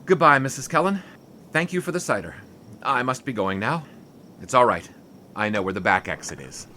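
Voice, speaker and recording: A man's voice: mid-range voice, animated, moderate pace, pushed and bright, a little hiss or hum. Orville, a man playing a wisecracking 1920s sports writer. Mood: hurt. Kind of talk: 1920s period audio drama.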